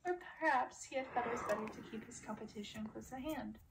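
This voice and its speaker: A woman's voice: fairly high pitch, brisk. A woman reading a fairy-tale novel aloud in audiobook style. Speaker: reader of a story. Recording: distant mic with clear background noise.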